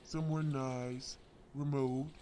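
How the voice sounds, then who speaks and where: A man's voice: medium pitch, flat delivery, takes it slowly, mellow, lightly acted. Man playing a smug male crime boss, theatrical drawl, mock-wounded, politely threatening, audio drama, noisy ambient background. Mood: coolly bargaining. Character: theatrical smug male crime lord villain, lovesick and menacing. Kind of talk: scripted audio roleplay acting.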